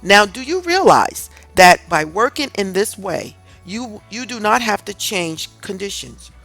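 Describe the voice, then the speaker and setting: A woman reads a scripted self-help audiobook in a deliberate, earnest, instructive way. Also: medium pitch, very animated, a bit bright, dry recording. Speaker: audiobook reader. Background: music in the background.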